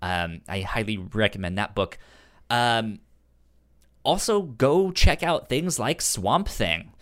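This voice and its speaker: A man co-hosting in casual chat, halting and hesitant, with a stutter that repeats sounds and words. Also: fairly low pitch, animated, a bit bright. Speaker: podcast co-host. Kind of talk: casual chat recommending comics and shows.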